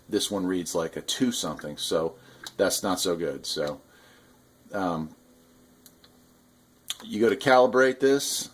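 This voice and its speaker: A man's voice: sits low, expressive, slow pace, long pauses. A man giving a casual hands-on product demonstration, explaining things in an informal, conversational way as a hobbyist host. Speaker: radio hobbyist host reviewing gear.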